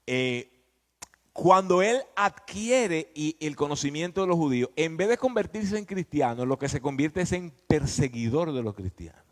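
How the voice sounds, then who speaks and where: A man preaches in a fairly high, very animated voice. He teaches emphatically and conversationally, throwing questions out to his listeners. Long reverb from the hall trails his words. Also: moderate pace. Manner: emphatic, didactic, often prompting listeners with questions.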